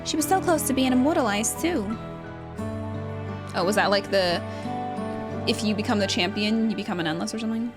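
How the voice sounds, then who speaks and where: A woman streamer giving casual, animated gameplay commentary, joking and slangy, prone to excited outbursts. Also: mid-range voice, fairly quick, pauses often. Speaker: streamer playing an online role-playing game. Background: game music.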